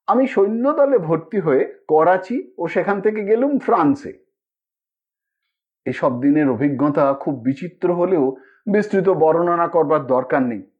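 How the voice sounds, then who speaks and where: A man's voice: a little high, lo-fi sound. A man narrating a scripted literary short story in a dramatic storytelling manner.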